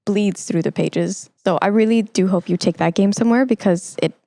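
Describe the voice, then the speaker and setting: A woman's voice: mid-range voice, normal speed, creaky, warm tone, no room sound. A young woman hosting a conversational podcast interview, speaking in an effusive, encouraging way. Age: young adult. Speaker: podcast host.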